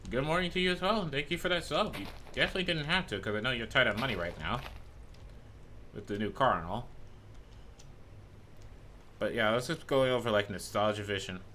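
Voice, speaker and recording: A man's voice: mid-range voice, lively intonation, brisk, pauses often, sharp tone, slight background noise. A man streaming a game in a loose, rambling chat who swears freely, and who is losing his voice. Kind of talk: casual gameplay chat.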